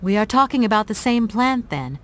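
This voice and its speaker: Young female game lead: high, animated voice, courteous, well-spoken, persistent. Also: a little fast. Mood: courteous, inquisitive. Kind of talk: video game voice acting.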